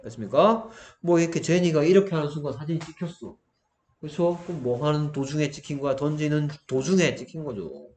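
A man's voice: on the high side, expressive, brisk, darkish tone. A man teaching English grammar, explaining step by step and often asking questions to check understanding. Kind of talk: explanatory lesson with questions to students.

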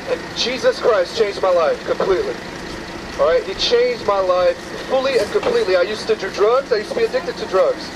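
A man in his thirties preaching earnestly at a busy outdoor bus stop, pleading directly with passers-by. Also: high voice. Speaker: street preacher. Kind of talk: open-air street preaching. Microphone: far camera, no visible mic. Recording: distant outdoor camera audio with street noise.

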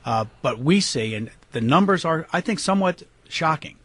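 Husky American man, halting but emphatic. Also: a little high, expressive, a bit bright. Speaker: interview guest, county prosecutor. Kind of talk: unscripted radio interview answers.

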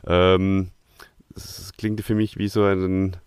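A man's voice: sits low, level tone, slow, darkish tone, clean tone, dry recording. A man hosting a podcast, chatting casually as he reviews an audio drama. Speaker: podcast host.

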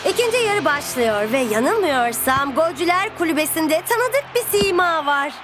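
Keen cartoon football commentator, very high voice, loud music behind. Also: female voice, very animated, moderate pace. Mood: upbeat. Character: enthusiastic female TV football commentator.

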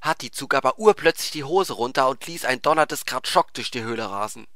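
A man's voice: medium pitch, expressive, brisk, fairly bright tone, dry recording, clean studio sound. A man narrating a comic fantasy tale in a theatrical, emphatic scripted style. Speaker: storyteller.

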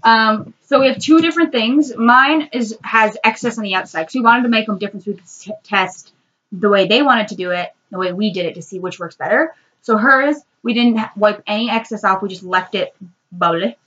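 A woman's voice: mid-range voice, fairly quick, a bit bright, dull audio. A teenage girl casually reviewing a toy in her bedroom, explaining each step in a chatty way, recorded on a webcam microphone. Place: bedroom, indoors, seated on the floor. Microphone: built-in webcam mic.

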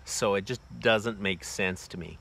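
A man's voice: on the low side, a little slow, vocal fry, a bit bright. A man in his forties giving a casual, plainspoken how-to demonstration outdoors, filmed on a phone held close, with lots of loose fillers. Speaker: homesteading vlogger. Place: outdoors in a wooded bee yard. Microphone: phone camera held close. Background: crickets and insects.

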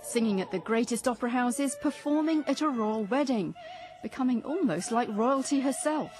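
A woman's voice: fairly high pitch, animated, moderate pace, a little mellow. A woman television reporter reading scripted narration. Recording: music under the voice. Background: classical music.

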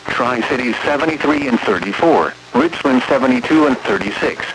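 A man's voice: mid-range voice, animated, moderate pace, a bit bright. Computerized american guy reading weather bulletins, formal n impersonal, noisy weather radio sound. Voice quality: synthetic text-to-speech voice. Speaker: automated weather radio announcer. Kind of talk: scripted weather forecast reading.

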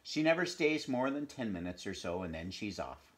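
A man reading the part of a plump, pleasant, gentle woman who owns dogs, in a conversational storybook manner. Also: lowish voice, big pitch swings, normal speed. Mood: gentle, musing.

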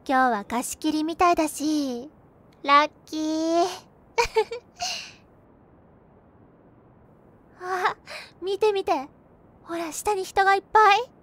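A young woman playing a gentle, cheerful girlfriend who comforts her overworked boyfriend in an intimate audio roleplay, with a soft, playful manner. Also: high voice, full character voice. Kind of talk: Japanese binaural situation-voice roleplay, spoken to the listener.

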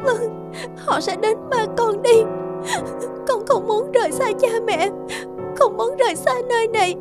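Soft-hearted young maiden, very high earnest voice, cartoon dub, music behind. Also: female voice, unhurried. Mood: sorrowful. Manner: pleading, earnest. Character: gentle, devoted young moon-fairy maiden. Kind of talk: Vietnamese children's cartoon dubbing.

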